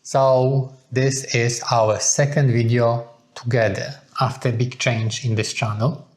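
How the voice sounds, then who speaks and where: A man's voice: medium pitch, level tone, a little slow. Earnest man. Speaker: co-host of a couple's video channel. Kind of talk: relaxed sit-down chat to viewers.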